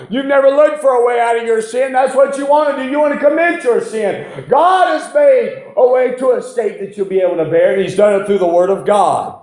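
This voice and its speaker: High-pitched preacher man in echoey room. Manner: emphatic, repetitive, exhorting, rising to shouts. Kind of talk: fervent evangelical sermon.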